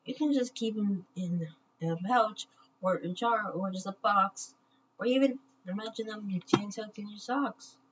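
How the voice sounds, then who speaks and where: A woman voicing a kind, caring talking brain who gently encourages, in a children's storybook, on a muffled, noisy recording. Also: medium pitch, moderate pace, pitched above the performer's own voice, lightly acted. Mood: helpful, gentle.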